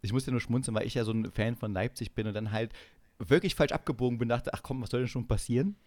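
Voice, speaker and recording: A man with a mid-range voice talks as a podcast host in a very dry recording. He is from eastern Germany and chats casually, with animated, mock-indignant banter. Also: mid-range voice, fast, dry recording. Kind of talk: casual comedic chat.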